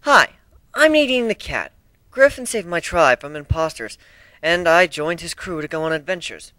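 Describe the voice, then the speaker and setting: Storybook female cat adventurer , done by a reader; friendly, protective, sincere, lively pitch. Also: normal speed, dry recording. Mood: cheerful. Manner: earnest, defensive.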